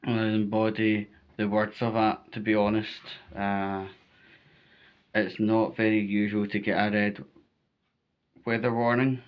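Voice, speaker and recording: Low, even-pitched Scottish man, emphatic. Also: unhurried, pauses often, clean tone, lo-fi sound. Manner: emphatic, repetitive, checks in with "right?". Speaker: vlogger giving storm safety advice. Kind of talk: informal spoken advice to viewers.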